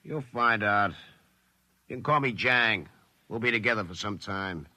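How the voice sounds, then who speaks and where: An actor playing a tough, cynical, world-weary convict laborer in a 1950s radio drama, gruff, slangy and sardonic. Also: male voice, fairly low pitch, a little slow, sharp tone, dry recording. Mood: sardonic, resigned. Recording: 1950s radio broadcast recording.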